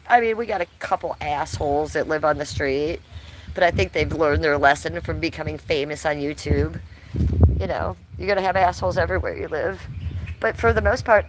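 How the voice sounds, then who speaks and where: A woman hosts a loose, chatty livestream from her tree-covered backyard, a bit sick and losing her voice. Her voice sits on the lower side. Faint outdoor noise and passing cars can be heard behind her. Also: poor audio. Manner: chatty, loose, swears casually, talks to chat viewers by name. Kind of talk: casual livestream chat outdoors. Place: backyard under trees, outdoors.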